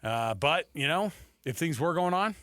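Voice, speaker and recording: A man's voice: on the high side, lively intonation, moderate pace, fairly bright tone, no echo. A man hosting a sports radio show, talking casually and repeating phrases for emphasis into a close studio microphone. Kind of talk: casual sports talk commentary.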